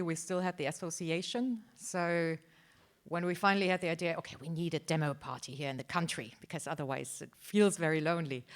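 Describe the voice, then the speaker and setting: Slightly low-voiced woman, chatty panelist. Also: clear, resonant tone. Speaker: demo party organizer on a panel. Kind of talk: informal roundtable talk.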